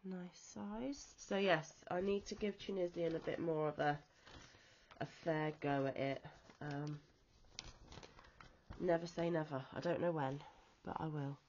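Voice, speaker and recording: A woman from the UK who is ill and wheezy with a chesty cough, chatting casually off camera about a crochet magazine with frequent pauses and ums. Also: sits low, fairly even intonation, darkish tone, highs cut off, slight background noise. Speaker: crochet vlogger. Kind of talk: casual show-and-tell chat. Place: at home, off camera.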